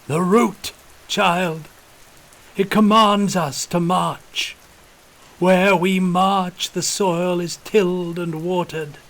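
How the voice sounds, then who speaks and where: A man's voice: sits high, fairly even intonation, drawn-out words, a bit bright, pitched above the performer's own voice, exaggerated voice, rough recording. A man voicing a calculating, fanatical old regiment officer, wheezy and intoning like a preacher, in an audiobook. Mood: fervent. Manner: intoning, preacherly, rustic archaic turns of speech.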